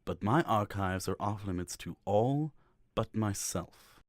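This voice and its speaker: A man playing a cold, commanding high priest in a fantasy visual novel, formal and deliberate, over music. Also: no room sound, natural voice. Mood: stern judgment. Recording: music under the voice.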